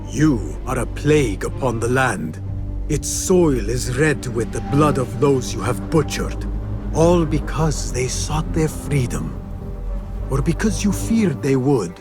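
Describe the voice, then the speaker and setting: An actor playing a young assassin hero in a video game, speaking in a calm, formal and determined way. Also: male voice, mid-range voice, slow pace. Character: male assassin hero, young adult, resolute. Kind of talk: video game cutscene acting. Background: game music score.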